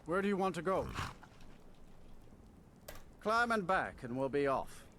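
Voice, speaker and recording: A talkative fantasy coachman, with a slightly high voice, offers his services like a folksy game character. Faint ambient noise sits behind him. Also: sing-song, unhurried, a bit bright. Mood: folksy, informative. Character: chatty carriage driver for hire. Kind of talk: fantasy video game dialogue. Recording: game audio under clear ambient noise.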